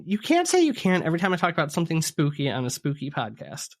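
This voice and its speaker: A man's voice: fairly high pitch, huge pitch swings, fast talker. A man co-hosting a podcast, telling spooky stories in a conversational way and ad-libbing as he goes. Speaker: podcast co-host.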